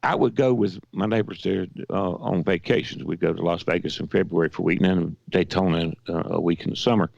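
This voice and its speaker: Southern radio co-host, man, hoarse and very gravelly, lowish pitch, dry studio sound, folksy and halting. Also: steady tone, a little mellow, no echo, dull audio. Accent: Southern American. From Georgia. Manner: folksy, halting with many restarts. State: hoarse, weak voice. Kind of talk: casual radio chat.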